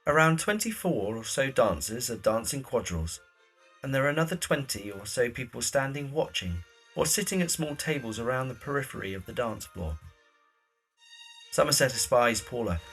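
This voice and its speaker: A man's voice: medium pitch, big pitch swings, normal speed, fairly bright tone, slightly rough, low-quality recording. Male drama narrator, middle register, scripted stage-setting delivery, light music underneath.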